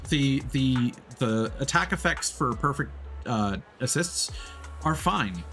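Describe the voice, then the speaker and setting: A man giving casual, off-the-cuff commentary as a livestreamer, thinking aloud in a halting way. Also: mid-range voice, fairly bright tone. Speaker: livestreamer, gamer. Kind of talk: casual gaming stream commentary.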